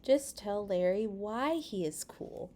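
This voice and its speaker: A woman's voice: medium pitch, lively intonation, takes it slowly, warm tone. A woman voicing a kind, encouraging mother cat from a storybook, with a reassuring manner.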